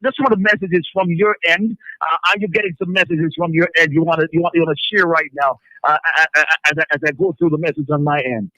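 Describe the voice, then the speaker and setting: A male radio host chatting casually and reading out listener messages, halting and often repeating his words. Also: fairly high pitch, fairly even intonation, bright, forceful tone, no echo, lo-fi sound.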